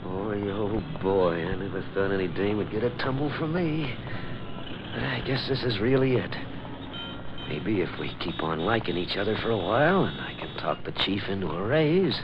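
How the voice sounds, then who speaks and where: Muffled old radio drama, brash young newspaperman, slangy, cocky, animated intonation. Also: on the low side, moderate pace, noisy background. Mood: dreamy. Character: smitten, cocky young male newspaper reporter. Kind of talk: 1940s radio acting.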